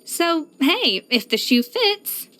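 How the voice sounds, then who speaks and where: An actress voicing a proud, exacting young pastry chef in a video game, poised, self-assured and precise in manner. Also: female voice, high voice, very animated, takes it slowly, bright, forceful tone, full character voice. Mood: poised, confident. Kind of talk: scripted video game character voice lines.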